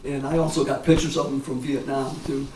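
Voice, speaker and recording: An American man in his seventies, a war veteran reminiscing informally in a halting, rambling way with frequent pauses. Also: mid-range voice, little pitch movement. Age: 70s. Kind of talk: informal reminiscence at a public talk. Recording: echoey, distant mic, clear background noise.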